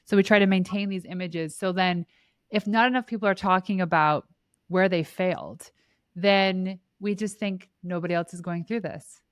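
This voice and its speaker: A woman's voice: fairly low pitch, fairly even intonation, moderate pace, clear, resonant tone, dry recording, clean studio sound. A woman hosting a podcast, affirming her guest and summing up her points in casual chat. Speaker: podcast host.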